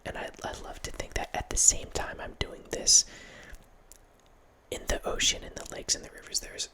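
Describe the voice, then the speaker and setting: Man whispering an ASMR horror ramble. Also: moderate pace. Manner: rambling, with many restarts and soft laughs. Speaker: ASMR channel host. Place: small home room with bookshelves. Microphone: large studio mic close in front, with headphones.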